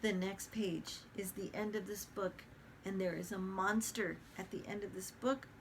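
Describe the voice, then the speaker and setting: A woman's voice: fairly even intonation, moderate pace, a little hiss or hum, lightly acted. A woman voicing a dramatic, easily scared furry male monster who pleads in an exaggerated way. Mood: begging. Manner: exaggerated, pleading, high and loud.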